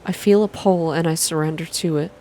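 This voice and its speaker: A woman with a low voice narrates a visual novel in a soft, calm reading style. Her delivery is slow and hushed, with some background noise on the recording. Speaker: reader of a visual novel for an online channel.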